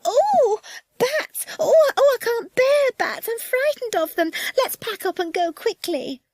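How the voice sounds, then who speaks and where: A panicky, fretful woman day-tripper from a children's storybook. Her voice is very high and shrill, swooping into wails and shrieks. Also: huge pitch swings, normal speed, no room sound, exaggerated voice. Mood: terrified.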